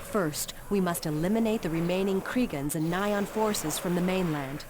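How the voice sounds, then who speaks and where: An actress playing a resolute war leader in a video game, giving measured, commanding briefings. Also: female voice, lowish voice, noisy background, natural voice. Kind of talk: scripted video game cutscene narration.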